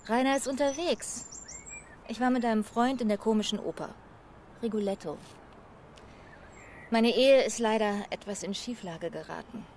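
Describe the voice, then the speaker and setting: A woman's voice: fairly high pitch, moderate pace, long pauses, darkish tone, a little hiss or hum, close to an everyday voice. A woman playing a middle-aged, poised and evasive wife under questioning in a naturalistic radio crime drama, composed and slightly haughty. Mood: cool, candid. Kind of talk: naturalistic German radio play acting. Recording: faint outdoor ambience with birdsong. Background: birdsong on a terrace.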